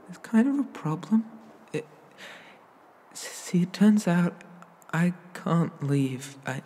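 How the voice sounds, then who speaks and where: A man playing a shy, awkward, inexperienced young incubus demon in an ASMR roleplay, stammering and apologetic, with a husky, breathy voice. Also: a little high, darkish tone, long reverb tail, a little hiss or hum. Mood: flustered, apologetic, then nervous, embarrassed.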